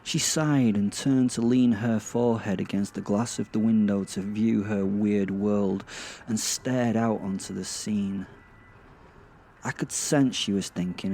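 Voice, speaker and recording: A sardonic, foul-mouthed werewolf, played by a man, tells his own story in a dark comic audio drama. His lowish voice rambles and halts, mocking himself with theatrical emphasis over a clearly noisy ambient backdrop. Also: fairly even intonation, measured pace. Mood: uneasy. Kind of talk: dramatic first-person horror-comedy narration. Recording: clear background ambient noise.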